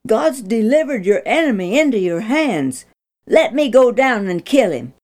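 A woman's voice: on the high side, sing-song, unhurried, darkish tone, pitched above the performer's own voice. A woman voicing an eager young male warrior, breathless and pleading in a storybook reading. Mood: excited.

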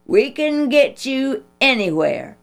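Taunting gang bully, loud and jeering, voiced by a woman reader, with music underneath. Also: sits high, big pitch swings, slow, vocal fry, pitched above the performer's own voice, full character voice. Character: big rough teenage gang leader, taunting bully. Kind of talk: storybook reading.

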